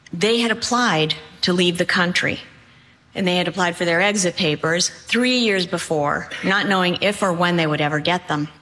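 A woman in her sixties from Cuba, raised in New England, telling a personal story with deliberate phrasing. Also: deep voice, sharp tone. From born in Cuba, raised in New England. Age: 60s.

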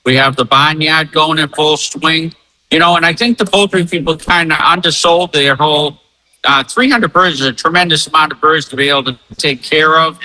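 A man's voice: a little high, steady tone, moderate pace, pushed and bright, rough recording. A man speaking as an event organizer in an interview, upbeat and emphatic, enthusiastically promoting it. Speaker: fair organizer and spokesman.

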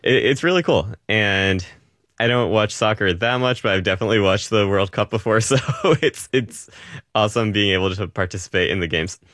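A man's voice: fairly low pitch, a little fast, fairly bright tone, dry recording, clean studio sound. A man from Rhode Island, an illustrator interviewed on radio, speaking in an easygoing, enthusiastic way with little laughs. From Rhode Island, New England.

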